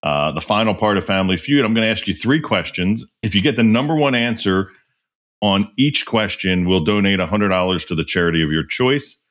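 Low-voiced male podcast host. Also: animated, brisk, a bit bright. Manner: loose, repeats himself, many fillers. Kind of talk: casual interview, running a quiz game.

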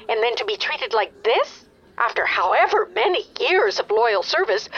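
A woman's voice: high voice, sharp tone, full character voice. An actress playing an older, demanding mother complaining over the phone in an indignant, pressing way, in an audio drama. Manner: indignant, self-righteous, pressing. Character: anxious, demanding mother angry over her phone bill. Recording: muffled phone-line sound.

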